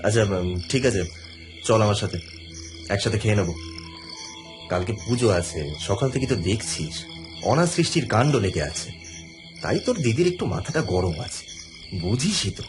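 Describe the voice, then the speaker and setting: A man acting a harried, hesitant husband, expressive pitch. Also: moderate pace, long pauses, natural voice. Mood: placating, kindly. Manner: hesitant, colloquial, punctuated with sighs and fillers. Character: harried middle-aged husband, placating, anxious, gossipy. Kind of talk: Bengali audio horror story acting. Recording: background music under the voice.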